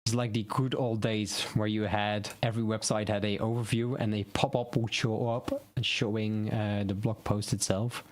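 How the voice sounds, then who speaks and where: A man's voice: on the low side, level tone, normal speed, few pauses. A man whose first language is Dutch, hosting a casual live stream on web design news, rambling and correcting himself as he thinks aloud.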